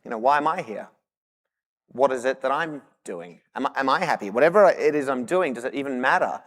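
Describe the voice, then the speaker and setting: A man in his thirties with an Australian accent, giving a lively motivational keynote speech and joking with the audience from a convention stage. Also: mid-range voice, fairly quick. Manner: lively, engaging the audience with humor. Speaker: keynote speaker. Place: large convention hall. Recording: long hall reverb.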